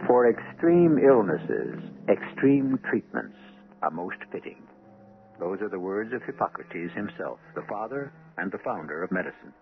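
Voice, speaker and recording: A man hosting and narrating a radio drama in a measured, theatrical way. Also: lowish voice, big pitch swings, vocal fry. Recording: tinny old radio broadcast with faint music.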